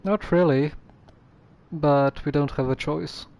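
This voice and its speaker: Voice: medium pitch, fairly even intonation, takes it slowly, creaky, darkish tone. A game streamer reacting casually and tersely to play, grumbling back at the game in exasperation. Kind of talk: casual live gameplay reactions. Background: game music.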